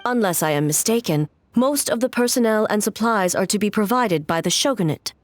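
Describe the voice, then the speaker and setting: A woman voicing a calm, authoritative and stern ruler in a video game, speaking in a formal, measured, composed way. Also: medium pitch, no echo, lightly acted. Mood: firm, composed.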